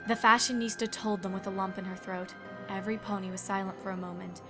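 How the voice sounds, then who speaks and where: A woman's voice: mid-range voice, little pitch movement, fast. A woman reading scripted story narration. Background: music.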